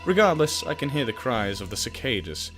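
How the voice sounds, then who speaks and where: Male let's player, very lively pitch, casual and sweary, jokey asides, game music clearly behind. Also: a little fast. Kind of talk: casual gameplay commentary reading visual novel text aloud.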